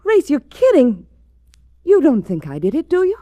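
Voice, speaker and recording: A woman's voice: high voice, wildly swooping pitch, normal speed, warm tone, no room sound. An actress playing an alluring wealthy woman who is easily rattled, in a melodramatic 1940s radio style. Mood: alarmed. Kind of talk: 1940s radio detective drama acting.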